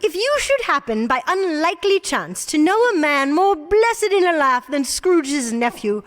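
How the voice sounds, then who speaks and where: A woman's voice: high-pitched, sing-song, unhurried. A woman telling a classic story as narrator of a radio drama, theatrical and wry. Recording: reverberant hall.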